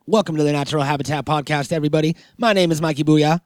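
A man's voice: fairly high pitch, fairly even intonation, racing, no echo. Joking male podcast host, dry close sound. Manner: joking, profane, rambling. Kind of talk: comedic casual chat.

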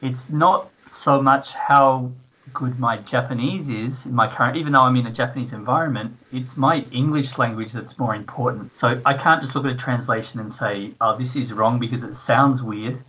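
A man working as a translator, explaining his work in a relaxed, casual interview. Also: medium pitch, normal speed, highs cut off, a little hiss or hum.

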